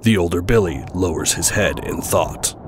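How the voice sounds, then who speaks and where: A man's voice: low voice, moderate pace, a little hiss or hum. A man reading scripted narration as the narrator of an audio drama.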